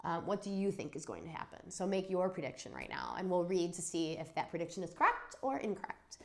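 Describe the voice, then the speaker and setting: A woman teacher giving an expressive read-aloud of a children's story, acting out the dialogue. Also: on the low side, brisk. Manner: animated, dramatizes dialogue. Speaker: teacher reading a chapter aloud for students.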